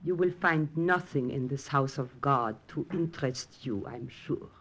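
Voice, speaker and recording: A calm and commanding Mother Superior in an old radio drama. Her voice is low for a woman, and her speech is formal, measured in manner and quietly protective. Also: exaggerated voice. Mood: firm, formal. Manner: composed, formal, authoritative. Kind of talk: 1940s radio drama acting.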